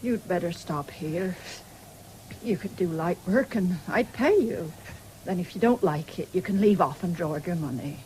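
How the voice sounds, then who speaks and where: A woman's voice: lowish voice, animated, measured pace, dark tone, poor audio. An actress playing a proud, stubborn ageing farm woman in a radio drama, speaking in a brusque, defiant way. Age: older. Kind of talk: 1970s British radio drama acting. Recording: faintly hissy old radio broadcast.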